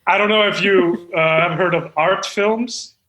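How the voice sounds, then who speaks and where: A man playing a pretentious amateur screenwriter in a comedy table read, with a halting, self-important way of talking. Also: high-pitched, steady tone, bright, forceful tone, poor audio. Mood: pretentious, rambling. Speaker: screenwriter reading stage directions at a table read.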